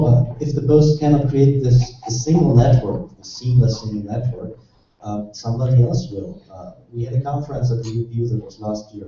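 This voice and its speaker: A man's voice: medium pitch, warm tone. A man chairing a conference session introduces the next speaker, earnest and hesitant with many fillers. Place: large conference hall. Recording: echoey hall, distant muffled mic, faint noise.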